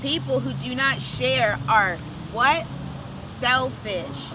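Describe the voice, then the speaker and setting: High-pitched woman hosting children's story time. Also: slow pace, noisy background. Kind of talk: children's read-aloud with direct questions to young viewers. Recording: music under the voice. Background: music.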